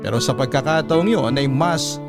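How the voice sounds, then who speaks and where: A man's voice: mid-range voice, moderate pace, over loud music. A man with a Filipino accent reading a first-person story as a dramatic radio narration.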